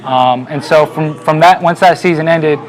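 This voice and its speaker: A man, a team owner, presenting a sponsor segment in a relaxed way with many fillers. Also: a little high, moderate pace, low-quality recording.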